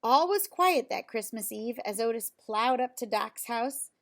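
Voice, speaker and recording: Woman, fairly high voice, expressive storybook read-aloud, simple delivery for young children. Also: unhurried. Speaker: storybook reader. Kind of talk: children's picture-book read-aloud with asides to young listeners.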